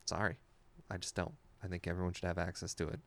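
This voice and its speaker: A man's voice: sits low, little pitch movement, quick pace, vocal fry. A man in his thirties hosting a podcast, talking in a casual, plainspoken, conversational way. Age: 30s. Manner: informal, plainspoken. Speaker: podcast host.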